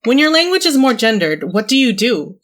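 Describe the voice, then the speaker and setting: A woman's voice: on the high side, very animated, fairly bright tone. Reflective young woman narrating an essay. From Orange County, California. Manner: reflective, measured, wry. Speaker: video essayist. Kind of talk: scripted personal essay narration.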